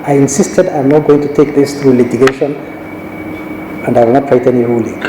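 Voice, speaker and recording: A middle-aged man from Kenya, a judge, giving a measured, anecdotal conference talk with frequent restarts. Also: medium pitch, fairly even intonation, noisy background. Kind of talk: conference speech. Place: large conference hall. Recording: echoey hall recording.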